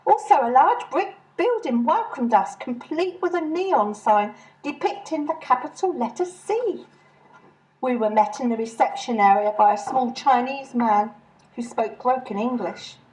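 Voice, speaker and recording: A woman reads a humorous first-person story. Her voice sits a little high, and she delivers it as scripted narration by the author. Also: animated, a little slow, a little mellow, poor audio. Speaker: author reading own short story.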